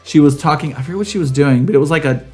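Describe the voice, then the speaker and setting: A podcast co-host with a middling pitch talks casually over faint music. The delivery is campy and slangy, with animated storytelling. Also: very fast. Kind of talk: casual chat.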